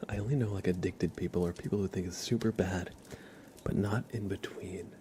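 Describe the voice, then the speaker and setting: A man hosting an ASMR livestream, chatting gently and informally in a soft, breathy voice that often slips into a whisper, close to the microphone. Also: low voice, fairly even intonation, a little fast, a little hiss or hum. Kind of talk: soft-spoken ASMR, casual live chat.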